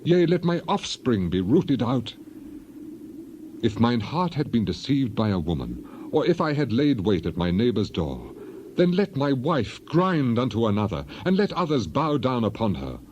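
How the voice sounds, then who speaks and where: A man's voice: mid-range voice, very animated, moderate pace, darkish tone, highs cut off, slight background noise, close to an everyday voice. A man playing an upright suffering man who solemnly declaims oaths of innocence in a dramatized scripture reading.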